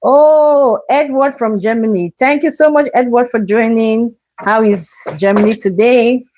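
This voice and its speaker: A woman's voice: on the high side, measured pace, a little mellow, a little hiss or hum, low-quality recording. A woman from Cameroon hosting a live cooking stream from her kitchen, talking viewers through each step and greeting them. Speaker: cooking show host.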